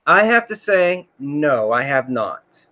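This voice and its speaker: Guy hosting a chill livestream, rambly, reads the chat out loud, slightly high voice. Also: big pitch swings, slow. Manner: rambling, many fillers, reads chat comments aloud. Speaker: host.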